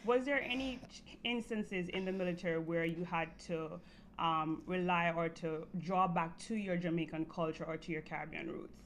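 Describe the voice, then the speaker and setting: A woman's voice: on the low side, normal speed, a little hiss or hum. A woman hosting a student interview program in a studio, asking questions in a friendly, conversational way.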